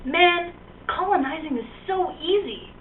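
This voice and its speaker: Woman doing a high, cocky puppet conquistador. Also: animated, unhurried, slight background noise. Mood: smug, puzzled. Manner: cocky, grandly proclaiming, casual slang. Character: smug, greedy male Spanish conquistador, puppet show. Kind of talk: amateur comic puppet show. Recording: tinny lo-fi mic from a distance.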